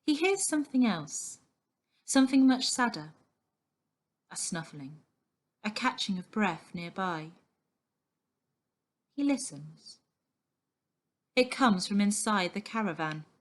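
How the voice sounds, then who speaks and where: A woman giving an expressive, dramatic reading of a children's novel as storyteller. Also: mid-range voice, slow, long pauses.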